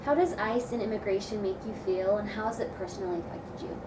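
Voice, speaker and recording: A woman interviewing someone, asking prepared questions in a brisk, matter-of-fact way. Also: mid-range voice. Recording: roomy, distant mic, background noise.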